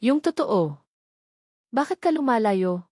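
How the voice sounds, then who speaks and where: A woman's voice: on the high side, sing-song, unhurried, darkish tone, dry recording, clean studio sound. Earnest pleading young man, voiced by a woman. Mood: pleading, hurt.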